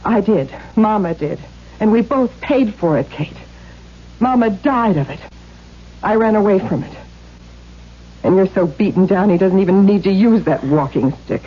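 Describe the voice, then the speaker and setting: A woman's voice: medium pitch, animated, moderate pace, slightly rough. An actress playing a bold, protective elder sister in her thirties in a 1970s radio drama, urgent and coaxing. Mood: pleading, bitter. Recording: dull vintage radio recording, music behind.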